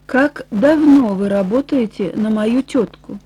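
A woman voicing a weary, frank male farmer nearing sixty in an audiobook, plain-spoken and measured. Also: fairly high pitch, warm tone, husky. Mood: weary, calm. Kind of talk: audiobook character dialogue.

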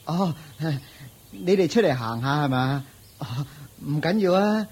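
An actor playing a poor, hapless husband in a radio drama, meek, plaintive and stammering in Hong Kong Cantonese. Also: male voice, on the high side, lively intonation, unhurried, a little mellow, husky, full character voice.

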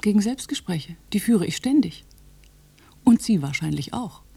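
Female inspector for radio drama: dark, breathy husky voice, measured, quietly defiant, dry. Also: medium pitch, lively intonation.

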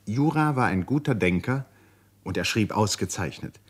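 A man with a husky voice giving measured, serious literary narration for a radio drama. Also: lowish voice, expressive.

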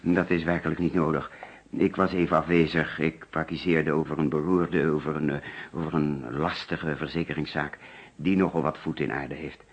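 An actor playing a distracted, anxious male insurance agent in a Dutch radio play, halting and evasive as he hides his trouble. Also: extremely low pitch, little pitch movement, slightly rough. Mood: apologetic. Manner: halting, evasive, absent-minded. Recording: dull, lo-fi old radio recording.

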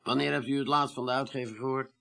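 An actor playing a polite, curious police investigator in a radio play, asking questions in a tentative, halting way. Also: male voice, medium pitch, fairly even intonation, vocal fry, pushed and bright, clean tone. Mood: inquiring. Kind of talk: 1980s Dutch radio play acting.

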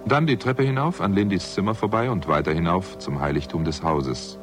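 Guy acting moody detective narrator for a radio crime play, really deep voice, grave, music playing behind. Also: brisk, natural voice. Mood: brooding. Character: brooding first-person narrator of a detective story. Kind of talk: scripted radio drama narration.

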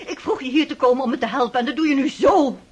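A woman's voice: high-pitched, fast, lo-fi sound, lightly acted. An actress playing a proud, anxious middle-aged mother who reproaches her son. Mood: indignant. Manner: bitter and reproachful. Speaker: actress in a radio play. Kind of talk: radio drama acting.

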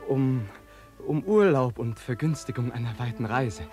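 An actor playing a bashful, eager young courtier with a hesitant, formal way of speaking in a German radio drama. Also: male voice, medium pitch, expressive, unhurried, a little mellow, close to an everyday voice. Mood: hesitant, earnest. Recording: prominent music under the voice. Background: music.

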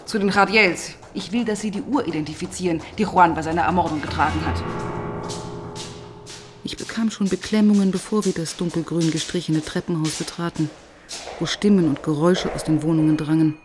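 A sharp-tongued female inspector played by an actress narrating a radio crime play in a lowish voice, with faint guitar music. She is brusque, sardonic and impatient. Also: a little fast, natural voice. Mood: angry, brusque. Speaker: narrator and lead character.

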